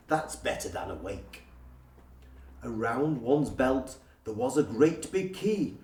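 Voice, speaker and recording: A man reading a rhyming storybook as a theatrical, expressive storyteller. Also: mid-range voice, vocal fry. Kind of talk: scripted rhyming storybook narration. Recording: roomy, distant from the microphone.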